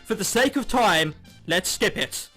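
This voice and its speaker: A high-voiced man with a bright, forceful tone narrates a visual novel let's play. His delivery swings widely and is sarcastic and sweary, as he reads the dialogue and comments on it. Game music plays behind him. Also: expressive, unhurried, breathy edge. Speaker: gaming YouTuber. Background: game background music.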